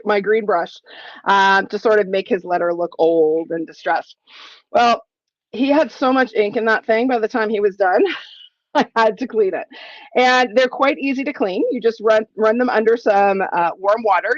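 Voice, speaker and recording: A woman's voice: mid-range voice, no echo, dull audio. A woman in her forties, a little sniffly, giving a chatty live crafting tutorial from her home craft room and thinking aloud as she works. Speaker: crafting demonstrator.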